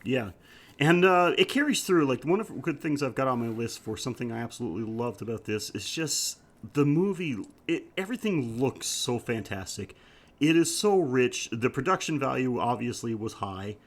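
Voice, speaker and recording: A man's voice: medium pitch, expressive, moderate pace. Man chatting enthusiastically as podcast host. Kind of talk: casual film review chat.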